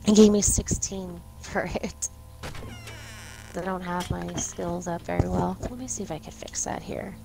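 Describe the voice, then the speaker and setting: A woman's voice: on the low side, level tone, a little slow, vocal fry, background noise. A woman chatting casually as co-host of a co-op gameplay video. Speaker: co-host gamer. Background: music.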